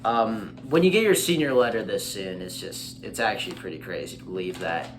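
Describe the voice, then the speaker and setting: A man's voice: on the low side, a little fast. A young American man in his late teens chatting casually as a vlogger, in a rambling, hesitant way that often circles back on itself. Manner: hesitant, rambling, repeats himself. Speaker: vlogger and streamer. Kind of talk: casual chat to camera, reading and reacting to a letter.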